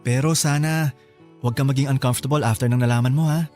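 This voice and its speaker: An actor playing a bashful, gentle young office worker in a radio drama, hesitant in manner. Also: male voice, mid-range voice, fairly even intonation, fast talker, soft background music, lightly acted. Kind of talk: Tagalog radio drama acting.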